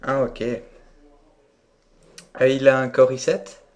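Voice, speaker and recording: A man with an average-pitched voice talks on the phone as a prank caller posing as a buyer. He is deadpan and hesitant, yet keeps pushing with his questions in an unscripted way. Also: takes it slowly, muffled recording.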